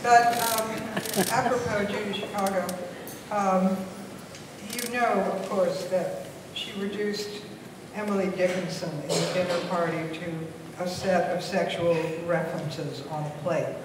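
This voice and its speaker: A man speaking at a panel discussion, making quick wry remarks. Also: high voice, steady tone, background noise. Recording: echoey hall from far off.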